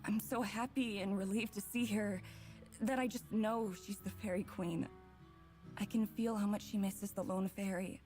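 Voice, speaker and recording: A woman's voice: medium pitch, fairly even intonation, normal speed, darkish tone, faint music behind, natural voice. An actress playing an anguished young woman who narrates a fairy vision in a dramatic visual novel. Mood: longing, grieving.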